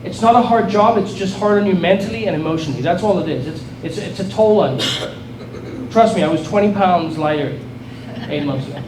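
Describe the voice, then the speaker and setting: South african guy speechifying, high voice, rallying, lots of restarts, echoey noisy room far from the mic. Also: brisk. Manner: earnest, rallying, repeats and restarts phrases. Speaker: state legislator giving a speech. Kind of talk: political speech to a crowd. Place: event hall with an audience.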